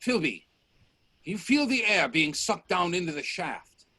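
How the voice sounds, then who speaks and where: A man playing a bold, inquisitive gentleman explorer in a radio play, speaking in deliberate, theatrical statements. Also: high voice, sing-song, no room sound, full character voice. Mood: grim wonder. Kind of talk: radio play dialogue acting. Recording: faint noise on video-call audio.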